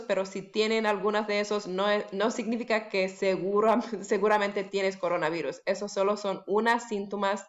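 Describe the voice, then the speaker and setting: A woman teacher at home giving a bilingual lesson, saying each English phrase slowly several times and then translating it into Spanish. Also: medium pitch, dull audio. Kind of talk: bilingual classroom lesson, slow repeated drills.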